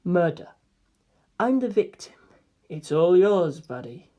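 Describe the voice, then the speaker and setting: A woman gives voice to a calm teenage boy, a cryptic and gentle friend in a novel reading. The speech is quiet, breathy and measured, with a knowing manner. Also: lowish voice. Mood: gentle, solemn. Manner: soft-spoken, measured, knowing.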